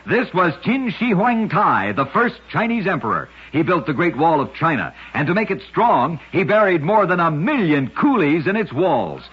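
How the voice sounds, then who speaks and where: A man hosting an old-time radio show, narrating short scripted oddity tales in a husky, rough-edged voice. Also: a little high, sing-song, moderate pace, few pauses. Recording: dull, lo-fi old radio recording.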